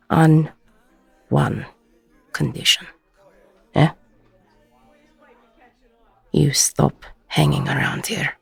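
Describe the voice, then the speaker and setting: A woman playing a weary, gruff, middle-aged ex-con bartender, husky and breathy, blunt and self-deprecating, in an intimate audio roleplay. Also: sits low, darkish tone, no room sound, full character voice. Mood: reluctantly softening.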